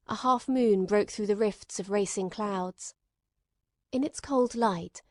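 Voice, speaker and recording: A woman's voice: medium pitch, darkish tone, no echo. Dry, close-sounding woman audiobook narrator. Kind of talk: scripted narration of classic mystery fiction.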